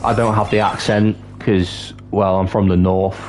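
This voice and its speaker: A young man in his twenties from North Wales chats casually with viewers on a livestream, halting and restarting often. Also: deep voice, flat delivery, darkish tone, slight background noise. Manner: chatty, halting, with many restarts. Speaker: streamer. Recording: game music clearly behind the voice. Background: game music.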